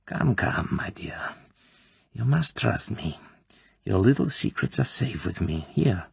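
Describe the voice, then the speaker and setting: A cruel, falsely motherly Soviet colonel, put on by a man reading an audiobook, coaxing in an oily, smooth way. The voice is deep and gravelly with a husky, breathy edge, sometimes sinking to a whisper. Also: dry recording, heavily acted. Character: cruel middle-aged female Soviet colonel interrogator.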